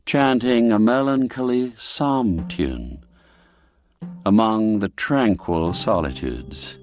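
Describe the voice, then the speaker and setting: A man reading a classic tale aloud in a measured, expressive storytelling manner. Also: lowish voice, over music. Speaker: narrator. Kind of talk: scripted storybook narration.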